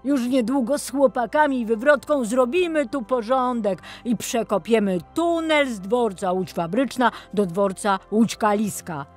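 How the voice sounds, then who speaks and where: A woman voicing a plucky, excitable young male talking vehicle in an emphatic way for a children's story. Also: a little high, unhurried, few pauses, faint music behind, pitched above the performer's own voice. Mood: indignant, excited. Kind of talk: children's audio story acting.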